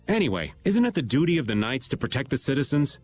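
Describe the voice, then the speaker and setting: A man's voice: medium pitch, sing-song, fast talker, natural voice. An actor playing a laid-back, middle-aged male archer who drawls and cracks jokes. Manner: drawling, wisecracking. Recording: game music underneath.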